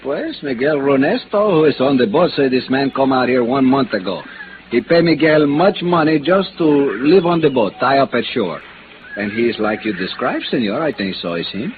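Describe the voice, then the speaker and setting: An actor playing a deferential, eager Mexican police captain with a Spanish accent and broken English in 1950s radio drama. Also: male voice, mid-range voice, animated, normal speed, close to an everyday voice. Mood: helpful. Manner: courteous, broken English, theatrical. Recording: noisy, tinny vintage radio recording.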